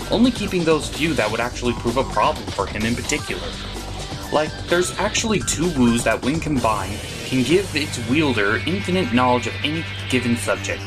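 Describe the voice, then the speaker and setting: A man hosting a scripted comedic cartoon review, theatrical and opinionated with crude turns of phrase. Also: mid-range voice. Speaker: cartoon reviewer, show host. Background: music bed.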